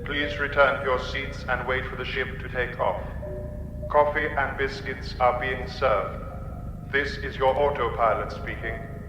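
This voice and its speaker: A polite but immovable spaceship autopilot acted by a man delivers officious, repetitive announcements. The radio comedy voice rings in a very echoey hall, with clear music behind it. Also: medium pitch, fairly even intonation, a little slow, close to an everyday voice. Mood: blandly officious. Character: bland, inflexible male spaceship autopilot computer. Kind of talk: radio comedy drama acting.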